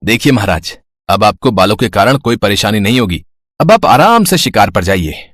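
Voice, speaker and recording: A man voicing a foolish, overconfident young barber's son, boastful and earnest, in a Hindi animated story. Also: lowish voice, normal speed, no room sound, studio quality. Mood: confident.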